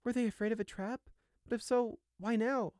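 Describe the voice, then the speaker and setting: A small young male sheep for a storybook, pitched well above an adult male voice. The little brother stammers and pleads in a frightened whimper, heard close in a dry recording. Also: steady tone, normal speed, a little mellow, dry recording. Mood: anguished, fearful. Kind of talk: storybook character acting.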